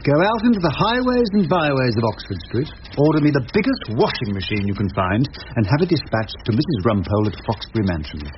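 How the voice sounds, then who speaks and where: Radio drama lead: man as droll, theatrical old English barrister, lively mid-pitched voice, slightly muffled broadcast. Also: fast talker, hardly any pauses, noisy background, lightly acted. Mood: breezy. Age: older. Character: wry, irreverent old English defence barrister. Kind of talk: radio drama acting and first-person narration.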